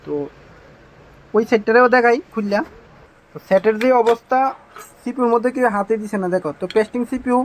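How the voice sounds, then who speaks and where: A man's voice: very high voice, fairly even intonation, a little fast, long pauses, slight background noise, rough recording. A man with a Tripura Bengali accent giving an informal phone repair demonstration as a technician, with repetitive, emphatic assurances.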